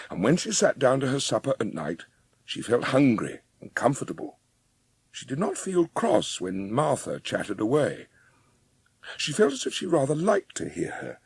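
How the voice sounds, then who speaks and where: A man's voice: mid-range voice, gravelly, a little mellow, husky, dry recording. A man narrating a dramatised children's audiobook as a scripted story.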